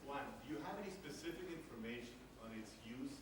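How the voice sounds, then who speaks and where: A man's voice: mid-range voice, animated, quick pace. A man from Chile speaking up from the audience at a talk with a spontaneous question and comment, heard from a distance in a room. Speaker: audience member asking a question. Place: audience seats in a lecture room, away from the microphone. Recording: distant, very echoey, noisy room sound.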